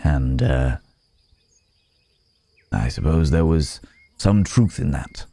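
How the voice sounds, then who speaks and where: A man playing a weary, remorseful werewolf in human form, speaking haltingly and gravely in an intimate ASMR roleplay. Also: extremely low pitch, expressive, mellow, exaggerated voice. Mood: pensive, explaining.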